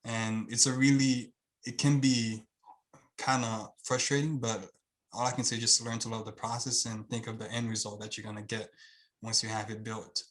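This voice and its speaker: A man's voice: lowish voice, little pitch movement, normal speed. Haitian-accented man, earnest and rambling. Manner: earnest, rambling, many fillers and restarts. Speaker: guest, channel owner. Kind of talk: casual video-call interview. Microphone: video call.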